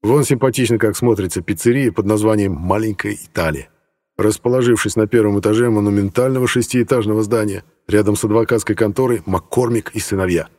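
A man's voice: on the low side, brisk, warm tone, big-room sound. A man reading first-person fiction as a scripted audiobook narration.

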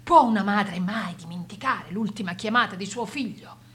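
A woman's voice: medium pitch, moderate pace, a bit bright, close to an everyday voice. A woman acting a worried, sceptical older mother of a grown son in naturalistic radio drama. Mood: indignant, grieving.

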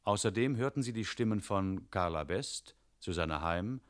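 A man announcing formally for radio, reading from a script with measured, precise enunciation. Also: lowish voice, lively intonation.

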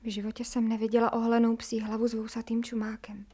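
Squeamish servant woman, highish voice. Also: completely flat delivery, breathy edge. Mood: hushed fascination. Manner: colloquial, hushed commentary. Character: squeamish, fascinated servant woman, gossipy and plain-spoken. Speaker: actor in a radio play. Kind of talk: radio drama acting, often hushed asides.

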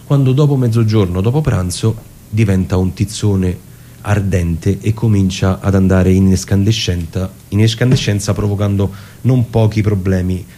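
A man informally retelling a literary story, haltingly and searching for words. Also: lowish voice, a little hiss or hum. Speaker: radio performer summarising a story. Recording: radio broadcast with loud guitar music. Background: plucked guitar music.

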